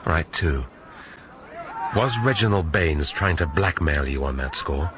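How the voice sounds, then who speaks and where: An actor playing a shrewd police inspector questioning a suspect with dry irony. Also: male voice, very deep voice, lively intonation, measured pace, background noise. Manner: dry, probing.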